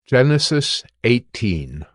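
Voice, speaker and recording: A man narrating scripture in a measured, solemn storytelling manner. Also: mid-range voice, big pitch swings, no room sound, studio quality. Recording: musical score behind the voice.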